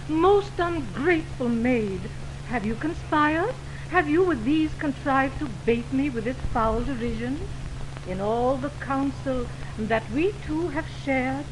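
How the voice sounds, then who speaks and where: A woman playing a wounded, indignant young woman in love, in theatrical, declamatory 1920s radio Shakespeare style. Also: high voice. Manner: theatrical, declamatory verse delivery. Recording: noisy 1929 radio broadcast on optical film.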